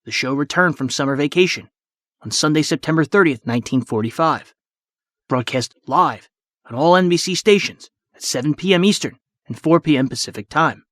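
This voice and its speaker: A man's voice: on the high side, fairly quick, a bit bright, no room sound, studio quality. A man giving scripted documentary narration as the narrator of a history podcast. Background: music.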